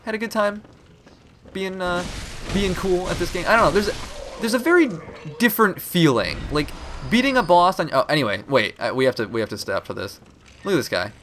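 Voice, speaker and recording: A man's voice: high voice, expressive, fairly quick, a bit bright. Young guy with a stuffed-up nose chatting thru a game, voice jumps around a lot, noisy background. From Florida. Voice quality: stuffy-nosed from a cold. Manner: rambling, chatty, swears casually, talks to the viewer. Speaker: let's play host. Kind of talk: casual gameplay commentary. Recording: recording with clear background noise.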